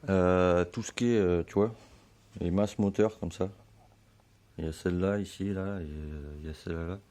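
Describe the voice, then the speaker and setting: A hoarse man giving a relaxed, slangy how-to explanation full of fillers, in a home garage. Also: low-pitched, moderate pace. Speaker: motorbike hobbyist.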